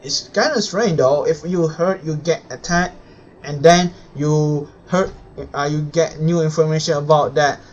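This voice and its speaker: Slow, higher-voiced male streamer. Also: slight background noise. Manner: halting, stumbling phrasing. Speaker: game live streamer. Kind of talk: live stream reading aloud and commentary. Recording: dull lo-fi mic, faint game music.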